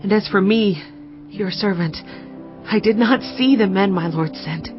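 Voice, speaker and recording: Woman playing humble wise biblical lady, earnest reverent measured delivery, audio drama w music behind, slightly dull sound. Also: mid-range voice, natural voice. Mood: earnest pleading.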